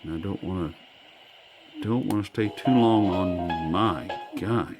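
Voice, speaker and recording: A man's voice: deep voice, unhurried, over music. An American man giving a casual, hands-on product review in an enthusiastic, folksy way, recorded indoors. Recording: faint background noise, indoor room.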